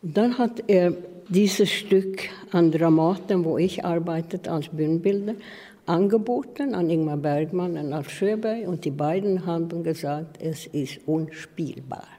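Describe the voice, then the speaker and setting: Older swedish-accented lady telling memories, really low mellow voice, echoey hall. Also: a little slow. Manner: non-native grammar, emphatic storytelling. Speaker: interviewee, former stage designer. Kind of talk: personal reminiscence in an interview.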